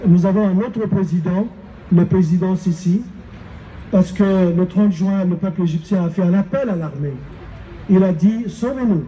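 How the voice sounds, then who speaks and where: An older man from Egypt giving an emphatic speech at an outdoor street rally into a handheld mic, often pausing on fillers. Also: sits high, dark tone, muffled recording, slight background noise. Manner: emphatic, hesitant with many fillers. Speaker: rally speaker. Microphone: handheld microphone.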